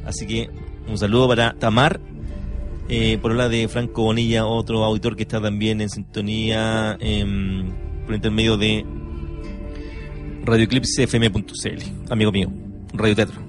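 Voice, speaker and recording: Chilean man, radio host, lowish voice, polite, hesitant phrasing, music underneath. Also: little pitch movement, normal speed. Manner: hesitant, searching for words, polite. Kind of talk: casual radio talk. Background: soft music.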